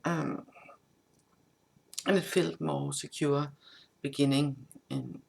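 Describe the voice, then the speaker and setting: A woman whose first language is Danish, a tarot reader who gives a casual spoken update, halting and improvising with many restarts. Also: low voice, steady tone, vocal fry, darkish tone.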